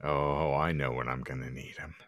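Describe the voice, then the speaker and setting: A man's voice: rumbling low, steady tone, moderate pace, fairly bright tone, no room sound, clean studio sound. A man hosting a comedic podcast in florid, theatrical, wordy language. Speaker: podcast host. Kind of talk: casual comedic podcast banter.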